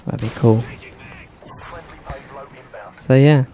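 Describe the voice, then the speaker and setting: A man giving casual, monotone commentary over his own gameplay, with dry humour and many hesitations. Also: medium pitch, very slow, gravelly. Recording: game gunfire in the background.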